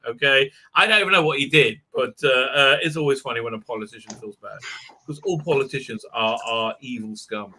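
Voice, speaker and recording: A man's voice: on the high side, bright, forceful tone. A man hosting a casual livestream call, rambling through chat messages with lots of fillers and restarts. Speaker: livestream host.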